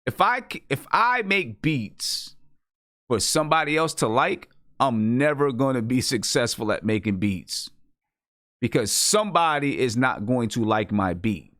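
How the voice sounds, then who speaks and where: A man's voice: mid-range voice, animated, a little slow, fairly bright tone, no echo, studio quality. A man hosting a music show, talking casually as a beat maker and repeating his phrases for emphasis. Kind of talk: casual chat about music.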